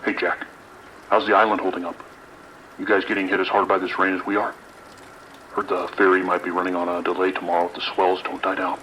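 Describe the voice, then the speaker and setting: Low-voiced American lieutenant male actor, forceful, clipped, dryly sardonic, naturalistic audio drama, faint noise behind. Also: completely flat delivery, fairly quick, poor audio. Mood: dry, surprised. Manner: clipped, commanding, dry.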